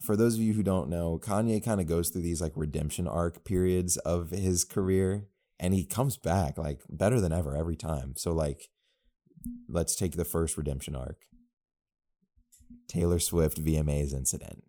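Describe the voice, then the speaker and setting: Low mellow-voiced young podcast guy. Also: moderate pace. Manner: rambling, jokey, animated asides. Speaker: podcast co-host. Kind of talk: casual podcast chat.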